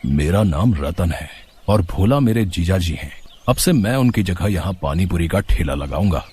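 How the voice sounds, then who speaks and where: A man's voice: sits low, animated, normal speed, mellow, slightly rough. A man playing a bold, protective village brother-in-law with a resolute, defiant manner in a story.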